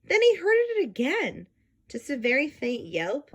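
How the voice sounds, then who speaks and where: A woman's voice: sits high, wildly swooping pitch, a little slow, slight background noise. A woman reading a children's book aloud, adding simple explanatory asides for young listeners. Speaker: read-aloud storyteller.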